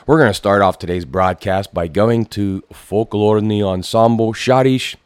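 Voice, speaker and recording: A man hosting a community radio heritage program, his voice hoarse and froggy from a recent cold, announcing formally with light self-deprecating asides. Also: dry recording. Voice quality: froggy, low voice. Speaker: radio host.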